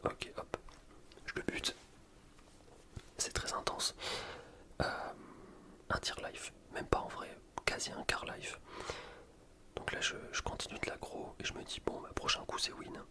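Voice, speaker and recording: A man's voice: unhurried, long pauses. Whispering man doing ASMR game commentary. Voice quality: breathy unvoiced whisper. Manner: casual, slangy, self-deprecating. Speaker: gaming ASMR video host.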